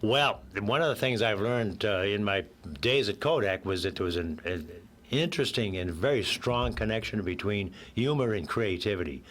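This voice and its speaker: An older man with a hoarse voice from a cold, a guest in a radio interview who rambles a little and jokes and laughs at his own quips. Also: fairly low pitch, normal speed, a bit bright.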